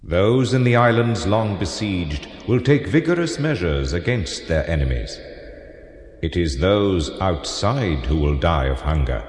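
An actor playing a solemn French Renaissance prophet and physician in an audio drama, declaiming gravely in formal, archaic phrases. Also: male voice, low-pitched, darkish tone. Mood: ominous prophecy. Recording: long cavernous reverb on voice.

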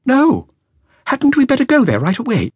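A man's voice: very high voice, wildly swooping pitch, normal speed, breathy edge, no room sound, voice pushed up, heavily acted. A man voicing a nervous, earnest young male flautist telling what he witnessed. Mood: anxious. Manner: anxious, breathless, earnest.

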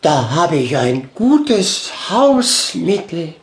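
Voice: mid-range voice, sing-song, drawn-out words, roomy sound, full character voice. A performer voicing a friendly, sleepy, helpful little beaver in a children's storybook. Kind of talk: children's storybook character acting.

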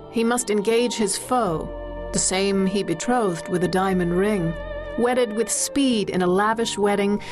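Female audiobook narrator, mid pitch, measured dramatic verse recitation, literary storytelling, faint music.

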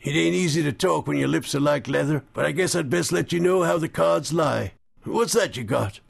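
A man voicing a gaunt, dying frontier wanderer in a storybook, gentle and weary, with folksy backwoods dialect. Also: on the high side, normal speed, gravelly, husky, heavily acted. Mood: gentle, soothing.

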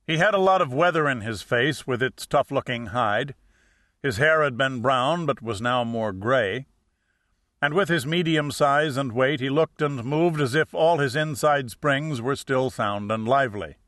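Bright-voiced man narrating a detective novel. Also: mid-range voice, no room sound, studio quality. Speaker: audiobook narrator. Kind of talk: scripted first-person detective novel narration.

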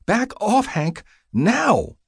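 Female nurse played by a male narrator: pitched up, husky, caring yet no-nonsense, gently scolding, for an audiobook. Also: very animated, normal speed, no room sound, studio quality. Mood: urgent, commanding.

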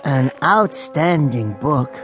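Meek, high-voiced little bookworm man. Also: animated, slow, fairly bright tone, faint music behind. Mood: dreamy, pleased. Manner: hesitant, stammering, deferential, rambling. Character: meek, myopic little bookworm bank teller, absent-minded and polite. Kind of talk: radio drama acting.

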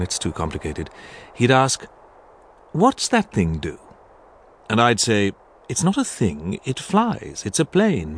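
A man's voice: lowish voice, a little hiss or hum. A man playing a reflective pilot who narrates his memories in a radio drama, confiding as a storyteller. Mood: wistful.

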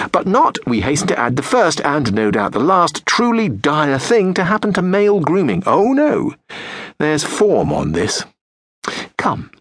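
A British man narrating an audiobook in a sardonic, profane, deadpan comic style. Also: breathy edge, dry recording. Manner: lively, wide-ranging pitch. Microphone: close studio mic.

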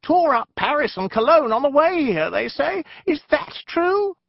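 Brief: a very high, jittery little male frog spirit, fretful and whiny, dull dry recording. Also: very animated, measured pace, creaky, no room sound, exaggerated voice. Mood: anxious. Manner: fretful, whiny, common-speech. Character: timid small male frog-shaped jinni sentry.

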